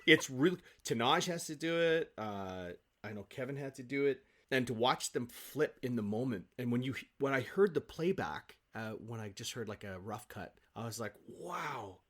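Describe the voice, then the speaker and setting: A man speaking as an actor guest in a casual podcast conversation, effusive and animated in his storytelling. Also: medium pitch, moderate pace, few pauses.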